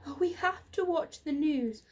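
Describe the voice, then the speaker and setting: A woman's voice: high voice, measured pace, pitched above the performer's own voice. A woman voicing a sensible young girl in a children's storybook, earnest and emphatic as she takes charge. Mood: urgent.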